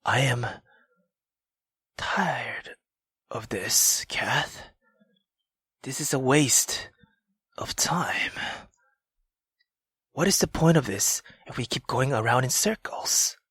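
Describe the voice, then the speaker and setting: A woman voicing an irritated, weary aristocratic husband in a low, restrained, exasperated way for a visual novel. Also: takes it slowly, long pauses, a bit bright, slightly rough, dry recording, whispered, full character voice.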